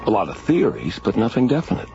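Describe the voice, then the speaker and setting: An actor playing an eager, informal space explorer captain in a science fiction radio drama. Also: male voice, fairly low pitch, wildly swooping pitch, quick pace, dark tone. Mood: matter-of-fact. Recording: dull radio recording, music behind.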